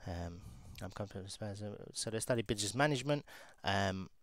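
A young man, a recruiter, casually talking through a software demo and thinking aloud as he clicks. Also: lowish voice, expressive, quick pace, no room sound, clean studio sound. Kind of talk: screen-share software walkthrough.

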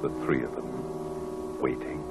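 An actor playing a brooding old gentleman who narrates his memories in a 1940s radio drama. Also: very deep voice, level tone, slow, creaky, heavily acted. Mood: brooding, wistful. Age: older. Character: haunted, brooding old gentleman recalling the past. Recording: old radio broadcast with organ music.

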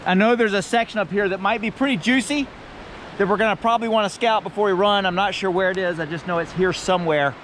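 A man's voice: high voice, quick pace, fairly bright tone, slight background noise. A middle-aged American man chatting casually and explaining things in an informal, easygoing way as a kayaking vlog host, recorded outdoors on a close action camera. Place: outdoors on and beside a river.

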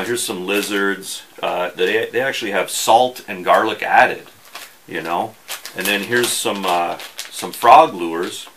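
Middle-aged man, lowish pitch, bright forceful tone, folksy and wry, halting casual vlog talk. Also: slight background noise. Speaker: host of an outdoors fishing channel. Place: small indoor workshop.

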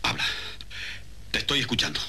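An actor playing a hot-tempered jilted suitor in a 1970s television soap opera, intense and insistent. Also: male voice, a little fast, a little hiss or hum. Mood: hurt, demanding. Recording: old TV soundtrack with loud strings.

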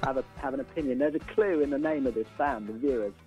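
A man's voice: mid-range voice, fast, dark tone, highs cut off, faint music behind. An older man, a band musician, talking casually as a radio interview guest, opinionated and repeating words as he builds his point.

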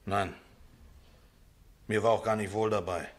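Guy acting as a shifty young man on the stand, radio play, kinda low voice, hesitant and casual. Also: steady tone, lightly acted. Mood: sheepish, defensive. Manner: hesitant, colloquial, halting. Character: evasive young male defendant, casual, down-on-his-luck nephew.

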